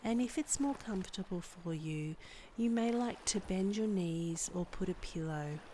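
A woman guiding a meditation in a soft, breathy, soothing voice with measured delivery and long pauses. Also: on the low side, expressive, a little hiss or hum. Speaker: meditation guide and podcast host. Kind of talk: guided body scan meditation, scripted narration.

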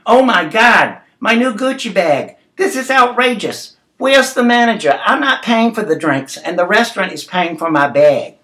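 Snooty young female diner, played by a male narrator: condescending, indignant, demanding, pitched far up. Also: expressive, moderate pace, few pauses, pushed and bright. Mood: outraged. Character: rude, condescending, outraged young woman restaurant customer.

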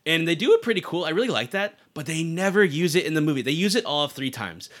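A man's voice: fairly high pitch, sing-song, fast, fairly bright tone. A man hosting a podcast, chatting casually about movies in a slangy, storytelling way. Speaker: podcast host.

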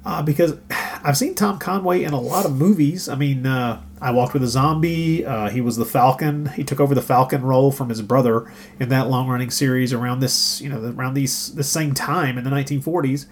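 A man's voice: medium pitch, fairly quick. A man hosting a podcast, chatting in a musing, conversational way. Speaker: podcast host. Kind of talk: casual chat commentary. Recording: faint background hum.

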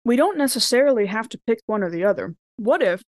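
A woman playing a tabletop roleplaying game, chatting casually and thinking aloud in an animated, informal way. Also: a little high, fast talker, no room sound, clean studio sound.

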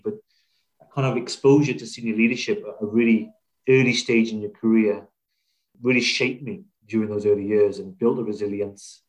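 Middle-aged British male business leader as interview guest, lower register, reflective and halting conversational storytelling. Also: low-quality recording. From Wales, UK. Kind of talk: conversational interview, telling own career story.

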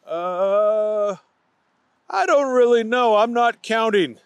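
A man's voice: high-pitched, slow pace, clean tone, dry recording, pitched above the performer's own voice. A man voicing a dopey, greedy young man who loves candy and talks in a hesitant way. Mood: sheepish.

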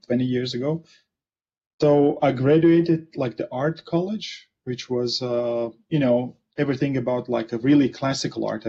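A man in his forties with a Latvian accent, a guest author in a casual interview, speaking haltingly with many fillers and restarts over a video call. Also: medium pitch, vocal fry, darkish tone, slightly rough. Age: 40s. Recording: muffled lo-fi video call audio.

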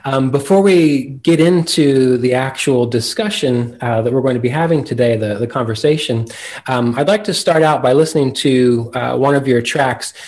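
A man's voice: medium pitch, darkish tone. A man hosting a podcast in a casual, hesitant and good-humoured way. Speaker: podcast host.

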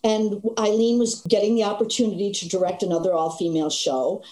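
A woman's voice: medium pitch, a little fast, echoey room, low-quality recording. An older woman, a stage actress, telling stories in an interview with laughs along the way. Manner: animated storytelling with frequent laughs. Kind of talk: conversational interview.

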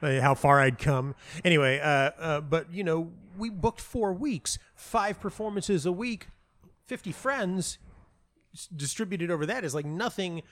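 Halting, self-deprecating middle-aged man. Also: on the high side, fairly bright tone. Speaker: playwright and audio dramatist being interviewed. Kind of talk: casual reflective interview conversation.

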